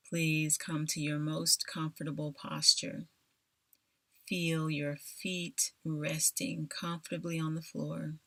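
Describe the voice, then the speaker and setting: A woman leading a scripted guided meditation in a soothing, deliberate manner, leaving long pauses between phrases. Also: low-pitched, creaky, a bit bright, no room sound.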